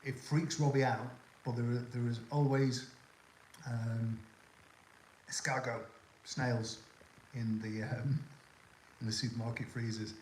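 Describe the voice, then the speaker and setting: A man's voice: medium pitch, steady tone, slow pace, pauses often, darkish tone, a little hiss or hum. Older man, mild Scouse-tinged northwest accent, mid-range voice, chatty and rambling, live-stream host, phone mic in an echoey room. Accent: toned-down Northwest English (Scouse). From Liverpool area, England. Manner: rambling and chatty with many ums and restarts. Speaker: livestream host. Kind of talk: casual live chat, answering viewer questions.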